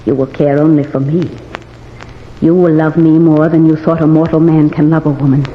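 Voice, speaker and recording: A woman's voice: low-pitched, little pitch movement, normal speed, close to an everyday voice. Woman playing a haunting, imperious specter bride in cavernous reverb. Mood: gloating, possessive. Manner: imperious, taunting, theatrical. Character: vengeful, possessive, haughty female specter bride. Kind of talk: old-time radio horror drama acting. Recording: muffled, noisy old radio recording, long reverb.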